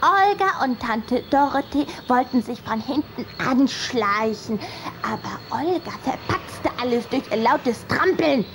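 A little vampire girl with a high voice. She is jealous and sharp-tongued, teasing and sarcastic, in a children's radio play. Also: animated, unhurried, a little hiss or hum. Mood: matter-of-fact.

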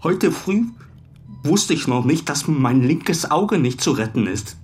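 An actor playing a beaten-up, bitter football goalkeeper in a crime radio drama, giving aggrieved, halting testimony. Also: male voice, a little high, expressive, a little mellow. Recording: faint music under the voice.

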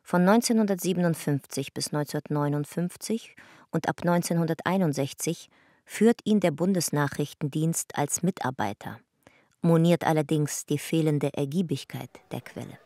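Sober, mellow-voiced woman narrator. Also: low-pitched, no echo, clean studio sound. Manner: matter-of-fact, measured. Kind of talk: sober documentary narration, reading reports.

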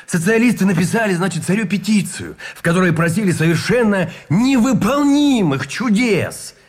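An actor playing a haughty, cynical army colonel in a radio play, speaking in an emphatic, declaiming manner. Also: male voice, high voice, expressive, moderate pace. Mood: scornful. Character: haughty, cynical male army colonel pleading for patriotic sacrifice. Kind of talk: Russian radio play acting.

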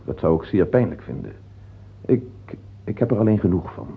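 An actor the playing a weary, cynical chancellor in a radio drama, answering his king with measured, ironic politeness. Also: lowish voice, sing-song, creaky, breathy edge, exaggerated voice. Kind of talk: theatrical radio drama acting. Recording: dull, lo-fi old radio recording.

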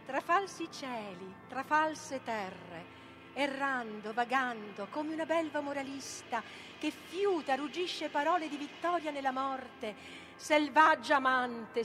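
A woman playing a vengeful, tormented mythical sorceress in a declamatory theatrical monologue, incantatory and swinging between hushed and loud. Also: high-pitched, heavily acted. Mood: brooding, incantatory. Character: Medea, vengeful, savage, tormented sorceress of Greek myth. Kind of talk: theatrical dramatic monologue, stage reading.

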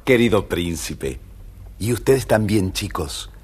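A man's voice: lowish voice, expressive, slow. A man narrating a scripted children's fairy tale as storyteller, theatrical, firm and explanatory.

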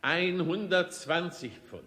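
An actor playing a solemn small-town mayor making formal, deliberate proclamations in a radio play. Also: male voice, high voice, bright, forceful tone, exaggerated voice. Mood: ceremonious. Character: ceremonious small-town mayor welcoming the king. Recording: long hall reverberation.

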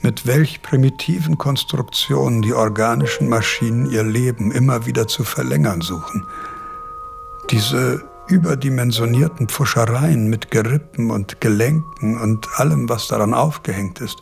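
An actor playing an aging, eccentric philosopher in a German radio play, lecturing in a pensive, deliberate, morbidly musing manner. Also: male voice, medium pitch, gravelly, music in the background.

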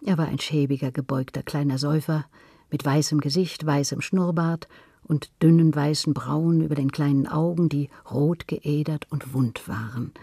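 A man's voice: fairly high pitch, steady tone, normal speed, dark tone, slightly rough, no echo, studio quality. A man reading scripted literary narration as a storyteller.

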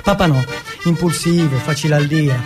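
A man from Turin performing an autobiographical theatrical monologue, telling it in measured short phrases and lists. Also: a little high, music in the background. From Turin, Italy.

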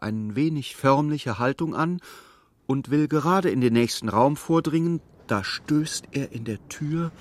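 A man narrating a literary radio drama as a measured, detached storyteller with dry irony. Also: mid-range voice, natural voice.

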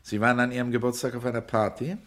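An actor playing a shrewd police investigator in a German radio crime drama, speaking in a measured, precise, clipped and insistent way. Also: male voice, mid-range voice, level tone, a bit bright, natural voice. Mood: brisk, official. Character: shrewd male police investigator, coolly cornering a suspect.

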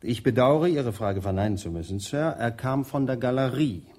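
An actor playing a stiff, ultra-polite butler in a radio play, with a breathy voice and precise wording. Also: male voice, mid-range voice, animated, normal speed, darkish tone, natural voice. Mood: formal. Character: stiff, formal male butler.

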